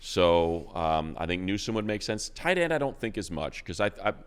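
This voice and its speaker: A man with a very deep, smooth, clear voice talks football in a loose, casual way. He restarts sentences and trips over his words as he thinks aloud, in a relaxed way. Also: moderate pace. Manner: rambling, many restarts and repeated words. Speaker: radio play-by-play announcer and podcast co-host. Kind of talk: casual sports chat.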